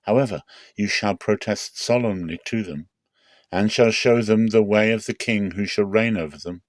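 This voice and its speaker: A man's voice: lowish voice, moderate pace, dry recording, lightly acted. Man reading as a grave, commanding God. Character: almighty God, rejected and grave, instructing his prophet. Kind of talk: dramatic scripture reading.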